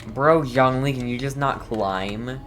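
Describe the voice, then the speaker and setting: A man giving casual, excitable and reactive commentary as he plays a video game. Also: medium pitch, takes it slowly, slight background noise. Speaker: game streamer.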